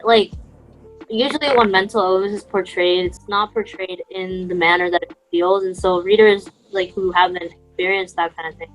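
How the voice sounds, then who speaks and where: A woman's voice: medium pitch, rough recording. A woman co-hosting a podcast, explaining things in casual, conversational talk. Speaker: podcast co-host.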